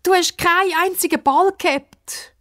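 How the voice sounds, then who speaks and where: A woman voicing a sensitive, easily upset young girl in a children's story, with plaintive, drawn-out exclamations. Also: extremely high pitch, sharp tone, dry recording, clean studio sound, voice pushed up. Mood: desperate.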